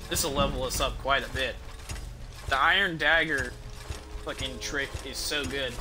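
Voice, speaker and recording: Higher-pitched man rambling over game music. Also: measured pace. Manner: rambling, thinking aloud, swearing casually. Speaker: game streamer. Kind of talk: casual gameplay commentary.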